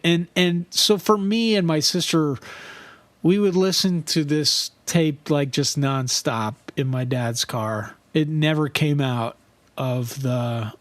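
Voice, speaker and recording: Casual podcast co-host, a man with a naturally high voice, animated and rambling, recorded dry and close. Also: slow pace, no echo. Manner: animated, laughs often, trails off and restarts. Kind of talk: casual chat.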